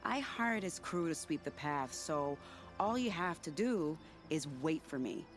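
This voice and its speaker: A sly, confident female spy from a video game. Her lowish voice swoops expressively, silky and teasing, with dramatic flair over music. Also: animated, a little slow. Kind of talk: video game cutscene dialogue acting.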